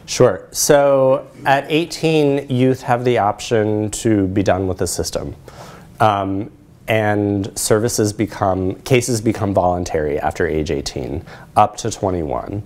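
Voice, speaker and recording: A man's voice: lowish voice, slow pace, a little mellow. An American man in his thirties giving an explanatory research presentation in a lecture room, checking in with his listeners. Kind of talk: conference presentation with audience questions.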